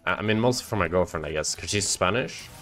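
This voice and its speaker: Animated young Norwegian streamer, low voice. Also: male voice, quick pace, soft background music. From Norway. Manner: joking, swears and shouts at game moments. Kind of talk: live gameplay commentary and chat banter.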